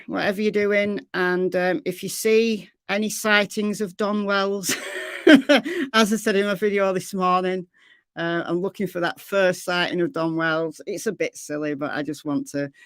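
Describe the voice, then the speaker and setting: Hoarse, rambling woman streamer. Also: on the low side, no echo, clean studio sound. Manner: rambling, chatty, trails off into fillers. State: slightly hoarse, losing her voice. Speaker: true crime livestream host. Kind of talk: casual livestream sign-off chat with viewers.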